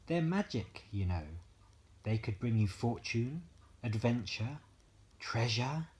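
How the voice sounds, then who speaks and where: A man's voice: mid-range voice, lively intonation, slow pace, darkish tone. A man voicing a mysterious, persuasive old bearded trader with a sly, coaxing manner in a bedtime story. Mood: enticing.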